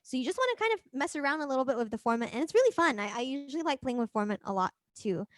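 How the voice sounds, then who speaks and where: A woman with a fairly high voice leads a music software workshop. She talks informally and thinks aloud while demonstrating. The sound is dry and close, with little room in it. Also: very fast, dry recording. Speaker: presenter of a music production workshop.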